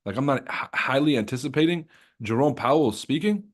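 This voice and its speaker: A man's voice: medium pitch, lively intonation, brisk. A young man hosting a trading livestream, chatting loosely with his chat, joking and swearing freely.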